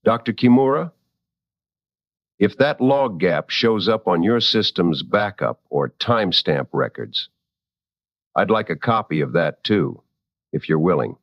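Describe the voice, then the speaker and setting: A man plays a late-night talk radio host in a drama. His voice is on the low side, close and dry with a slightly muffled tone. He questions callers in a measured, courteous way. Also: expressive, dry recording, full character voice. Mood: grave, courteous.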